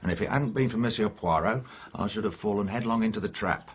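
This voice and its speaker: An actor playing a down-to-earth English police inspector in a radio drama, wry and matter-of-fact. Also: male voice, fairly low pitch, fast talker, highs cut off, a little hiss or hum, lightly acted.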